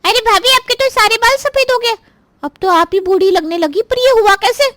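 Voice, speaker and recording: Casting: female actor as scheming, resentful young sister-in-law, cartoon drama, extremely high pitch, close dry recording. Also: moderate pace, fairly bright tone, no echo. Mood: annoyed, alarmed. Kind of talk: Hindi cartoon voice acting.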